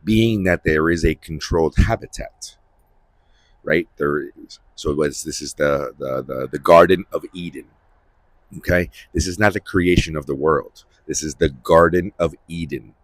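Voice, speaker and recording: A man hosting a Bible-reading series, giving informal commentary in a halting, repetitive way and often checking for agreement. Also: very deep voice, dry recording.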